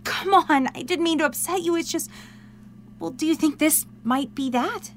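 A young woman playing an excitable, caring, pushy confidante who talks in an informal, earnest, coaxing way in a modern audio drama. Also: sits high, moderate pace, slight background noise. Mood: gentle, coaxing.

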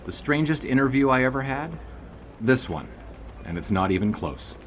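An actor playing a wry 1920s sportswriter, narrating an audio drama in a wordy, grandiose storytelling manner. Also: male voice, mid-range voice, animated, normal speed, noisy background, lightly acted. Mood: wry, reminiscing.

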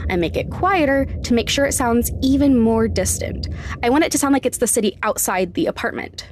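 A woman hosting a podcast, giving scripted instructional narration with deliberate, emphatic step-by-step phrasing. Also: on the high side, a little hiss or hum. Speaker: podcast host, sound designer.

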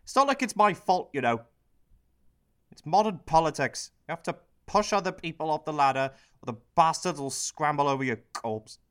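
A man's voice: a little high, lively intonation, moderate pace, fairly bright tone, smooth. Man acting a cynical, self-pitying prime minister. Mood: bitter, self-justifying. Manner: sardonic, bitter, conspiratorial, addressing the audience. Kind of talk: radio play satirical monologue.

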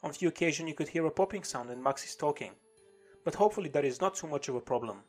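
A man hosting a podcast, reading a formal intro and asking interview questions in a measured way. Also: fairly high pitch, expressive, faint music behind. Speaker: podcast host.

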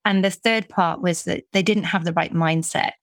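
A woman's voice: lowish voice, steady tone, moderate pace, dry recording, studio quality. A middle-aged British woman from the UK, a business coach talking casually in an interview, chatty with frequent restarts. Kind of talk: conversational interview.

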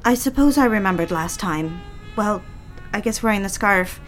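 A woman's voice: medium pitch, expressive, close to an everyday voice. Woman playing an anxious, eager young secretary, music behind. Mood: flustered, hurt. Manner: halting, flustered, apologetic and deferential. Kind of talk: period office audio drama acting.

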